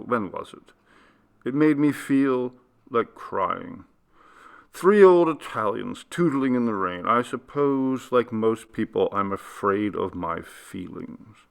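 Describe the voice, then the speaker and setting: Guy doing a fretful older gent from a ghost story, hesitant n rueful, gravelly, kinda forceful. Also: lowish voice, animated, slow, heavily acted. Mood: exasperated, rueful. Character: nervous, sentimental older gentleman ship passenger, musing and puzzled.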